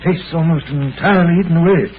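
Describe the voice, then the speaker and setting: An actor playing a polite, halting upper-class medical gentleman in a 1930s radio drama. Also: fairly high pitch, close to an everyday voice. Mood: hesitant, grave. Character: courteous, hesitant upper-class gentleman doctor. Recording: muffled, hissy 1930s radio recording.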